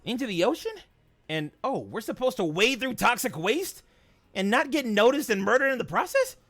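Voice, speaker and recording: A man's voice: very high-pitched, very animated, normal speed, fairly bright tone, no room sound, exaggerated voice. A man playing a young, quippy and nervous male superhero in a comic reading, flippant and jokey. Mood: incredulous. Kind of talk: comic book voice acting. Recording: background music under the voice.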